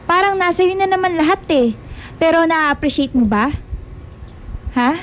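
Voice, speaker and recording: A woman's voice: very high-pitched, animated, normal speed, a little hiss or hum. A woman playing an earnest, scolding young female student in a radio drama, speaking with a Filipino accent and mixing in English. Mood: pleading, hurt. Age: young adult. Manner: indignant, mixes Tagalog and English. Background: faint music.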